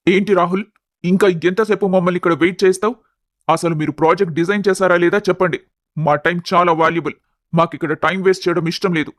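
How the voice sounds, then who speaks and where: Casting: man to play curt, irate business client in an audio drama, high male voice, dry recording. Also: steady tone, fast talker, no room sound, lightly acted. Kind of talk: dramatised audio story acting.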